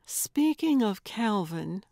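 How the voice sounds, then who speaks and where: A woman voicing a candid, cheerful older grandmother in an audiobook, speaking in a conversational, gently teasing way. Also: medium pitch, very animated, slow pace, gravelly, no room sound, clean studio sound, exaggerated voice. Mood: careful. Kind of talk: audiobook character voice.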